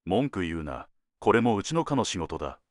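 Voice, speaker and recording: A man playing a world-weary senior detective in an audio drama, laconic and deliberate, given to dry teasing jokes. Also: deep voice, very animated, no echo. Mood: wry, businesslike. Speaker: police detective at headquarters.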